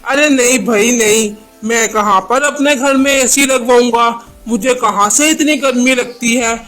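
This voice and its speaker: A man's voice: extremely high pitch, unhurried, pushed and bright, faint music behind, full character voice. A man voicing a sly, freeloading crow in a children's cartoon story, with an exclamatory, theatrical delivery. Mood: feigned nonchalance. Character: scheming freeloading male crow, sly and self-satisfied.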